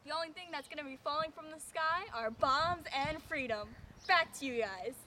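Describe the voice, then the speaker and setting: A woman playing a female wartime field weather reporter in a comedy newscast, with deadpan delivery. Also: high voice, normal speed. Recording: faint noise, ambient sound.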